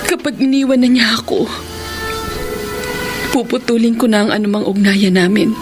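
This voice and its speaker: A woman's voice: on the high side, normal speed, lightly acted. A woman acting a loving, self-sacrificing, heartbroken girlfriend in a sentimental radio drama, earnest, tender and plaintive. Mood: pleading, selfless. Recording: radio drama with music underneath.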